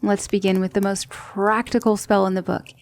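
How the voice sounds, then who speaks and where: Female demon tutor played by an actress: soft ASMR delivery, mellow with a creaky edge, patient, gently commanding. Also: medium pitch, flat delivery, lightly acted. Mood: confident, instructive. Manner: measured, instructive, reassuring, with hushed breathy dips. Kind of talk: soft-spoken ASMR roleplay.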